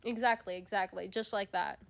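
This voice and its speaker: A woman with a stuffy, sniffly nose chats loosely on a livestream, circling back through fillers and restarts as she thinks out loud. Her pitch sits at an ordinary middle height, with no put-on voice. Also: steady tone, a little fast, gravelly. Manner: rambling, many restarts and fillers, laughs often. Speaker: streamer host. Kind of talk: casual livestream chat while drawing.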